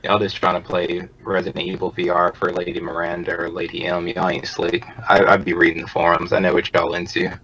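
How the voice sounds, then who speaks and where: A man's voice: low voice, monotone, brisk, few pauses, pushed and bright, low-quality recording. A man co-hosting a casual gaming podcast, talking in a slangy, laid-back way. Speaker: podcast co-host.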